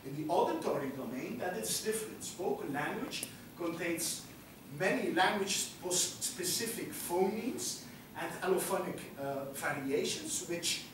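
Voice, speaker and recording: A middle-aged man with a Belgian Dutch accent, a native Dutch speaker, giving an academic lecture and filling his pauses with frequent "uh"s. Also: sits high, expressive, noisy background. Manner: frequent "uh" fillers, walks about while explaining. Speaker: university lecturer. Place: large lecture hall. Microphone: room mic far from speaker. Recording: echoey lecture hall from far off.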